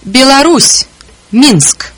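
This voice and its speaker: A woman speaking single words slowly and deliberately as a model for learners. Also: high voice, animated, dry recording. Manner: deliberate, articulated word by word. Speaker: native speaker on a language course recording. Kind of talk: slow, careful model pronunciation.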